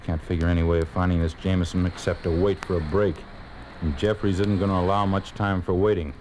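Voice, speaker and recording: An actor playing a stern, suspicious communist secret police officer in a 1950s radio drama, curt and officious. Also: male voice, sits low, little pitch movement, brisk, a little mellow, a little hiss or hum, natural voice. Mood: irritated, suspicious.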